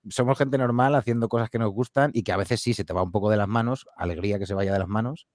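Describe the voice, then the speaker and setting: Man, low and quick, jokey anecdote-teller, dry close recording. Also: no room sound. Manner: animated storyteller, jokey asides. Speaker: podcast guest, horror audio fiction creator. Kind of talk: casual interview chat.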